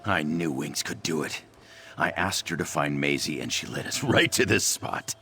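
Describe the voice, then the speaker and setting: A man voicing an earnest adventurer devoted to his cat in a video game, with a deep, gravelly voice. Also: expressive, normal speed, pushed and bright, slight background noise, heavily acted.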